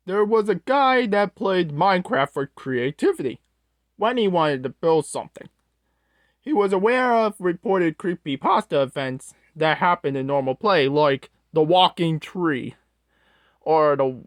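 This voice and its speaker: Someone doing an awkward flustered storyteller guy, halting, pitch all over the place, dry close sound. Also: no room sound. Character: Strong-Arm, awkward, scatterbrained storyteller host character. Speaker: storyteller host. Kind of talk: reading a scripted creepypasta story aloud.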